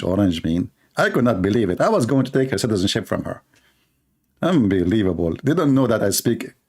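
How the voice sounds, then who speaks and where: A man's voice: fairly low pitch, darkish tone. A man hosting a live stream, talking in a sarcastic, mocking way and mimicking others in funny voices. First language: Arabic.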